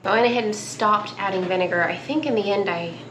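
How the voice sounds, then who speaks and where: Young woman homestead vlogger, mid-pitched, relaxed chatter, recorded at a distance in an echoey home kitchen. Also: quick pace. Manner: chatty, laughs easily, coughs at pepper fumes. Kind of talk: casual cooking demonstration. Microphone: wide camera on the counter. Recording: echoey kitchen with background noise.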